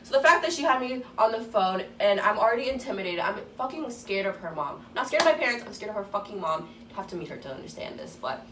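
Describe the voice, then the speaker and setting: A woman's voice: medium pitch, very animated, fast, few pauses, fairly bright tone. A teenage girl with a young, babyish voice rambles through a story, full of fillers and swears. She sounds set back from the mic in a roomy space, with a faint background hiss. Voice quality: youthful, babyish voice. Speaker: vlogger. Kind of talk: casual storytime chat. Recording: echoey room, distant mic, faint noise.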